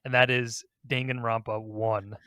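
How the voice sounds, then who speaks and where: A man talks as a podcast host in an informal, self-deprecating chat about games. His pitch sits in the middle of the male range. The sound is dry, with no room to it. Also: flat delivery, unhurried, bright, forceful tone, clear, resonant tone, no echo.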